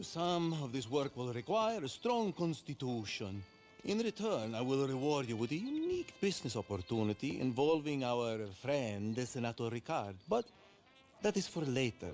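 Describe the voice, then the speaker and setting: An actor voicing a refined, menacing crime boss with an Italian accent, speaking formally and imperiously in a game cutscene. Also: male voice, mid-range voice, a little mellow. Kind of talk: video game cutscene acting.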